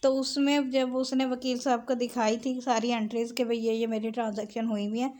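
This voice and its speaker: High-voiced Pakistani woman teaching law. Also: level tone, normal speed, darkish tone. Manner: switches between Urdu and English. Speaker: law lecturer. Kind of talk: explanatory lesson, reading out and explaining legal text.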